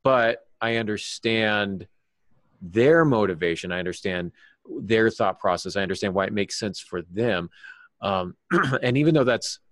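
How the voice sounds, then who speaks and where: Low-voiced man, dry studio sound. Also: animated, measured pace, fairly bright tone, dry recording. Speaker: podcast panelist. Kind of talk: analytical discussion.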